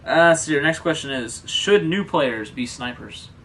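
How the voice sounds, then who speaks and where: A man's voice: fairly high pitch, lively intonation, a little slow, a bit bright. Easygoing man, slightly far from mic. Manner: agreeable, informal. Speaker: co-host of a hobby show. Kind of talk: casual chat, short agreeing comments. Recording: distant mic with faint background noise.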